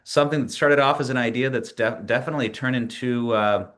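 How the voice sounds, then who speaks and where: A man in his forties, a PhD student, walking through a slide presentation of his learning plan in a measured way, with frequent pauses and restarts. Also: mid-range voice, fairly bright tone. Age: 40s. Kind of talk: presentation narration over screen share.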